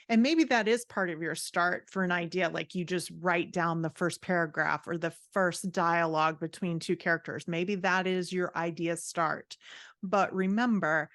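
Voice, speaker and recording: A woman's voice: on the low side, fairly bright tone, no echo, clean studio sound. A woman speaking as a guest writing coach, explaining her ideas in a conversational, encouraging and reflective way. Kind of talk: conversational advice in a podcast interview.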